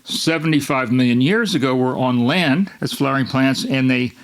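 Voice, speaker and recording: A man's voice: medium pitch, moderate pace. A man from Miami hosting a climate show, explaining in a rambling, unscripted way with frequent restarts. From Miami, Florida. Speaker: host.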